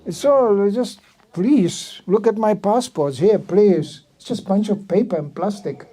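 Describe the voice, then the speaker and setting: Older man giving an animated talk. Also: high voice, measured pace. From Czech Republic. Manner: animated storytelling, acting out quotes. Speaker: visiting speaker at a temple. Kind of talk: sermon.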